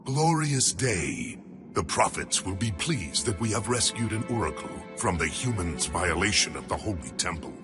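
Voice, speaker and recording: A deep, grave alien commander. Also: male voice, very animated, over music. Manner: solemn, commanding, measured. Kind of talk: video game cutscene acting. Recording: game audio with loud score.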